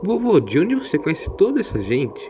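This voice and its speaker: A man's voice: a little high, huge pitch swings, brisk, muffled recording, background noise, voice pushed up. A man voicing an anxious young granddaughter who pleads and questions, in an audio drama. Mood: surprised questioning.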